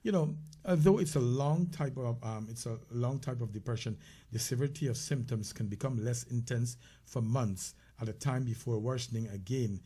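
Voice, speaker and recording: A man's voice: mid-range voice, normal speed, dark tone, breathy edge. A man with a Caribbean accent co-hosting a casual health talk show, speaking conversationally with many fillers and restarts. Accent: Caribbean English.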